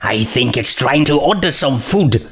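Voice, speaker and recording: A man's voice: mid-range voice, expressive, measured pace, fairly bright tone, breathy edge, voice pushed up, heavily acted. A man voicing a brash, agitated young female pegasus pony with a scratchy voice and a blunt, insistent manner, in a storybook reading. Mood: uneasy.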